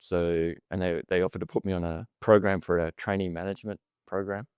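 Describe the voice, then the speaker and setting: A man's voice: low-pitched, fairly even intonation, fairly quick, a little mellow, clear, resonant tone, no echo, studio quality. A middle-aged man with an Australian accent, telling his life story as a podcast guest in a chatty, self-deprecating way. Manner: chatty and self-deprecating, often repeats words and laughs. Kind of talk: casual storytelling interview.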